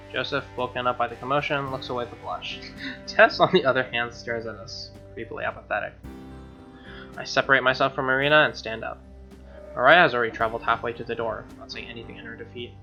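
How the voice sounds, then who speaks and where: Male let's-play host; sharp bright voice, mid pitch, casual snark and swearing, dull recording. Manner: snarky, profane asides. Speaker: gaming video host. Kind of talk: reading a visual novel aloud with casual commentary. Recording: dull lo-fi mic over music. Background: game music with guitar.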